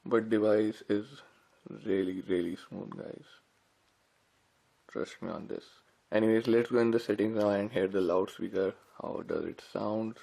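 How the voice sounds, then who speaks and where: A man's voice: fairly low pitch, steady tone, a little slow, gravelly, mellow. A man giving casual, informal unboxing commentary as a tech reviewer, talking directly to viewers. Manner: informal, addresses viewers as guys.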